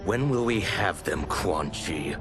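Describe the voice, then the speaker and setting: A low, animated rebel warlord, suspicious and demanding. He speaks in dramatic game villain style with music behind him. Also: takes it slowly. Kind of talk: video game villain acting.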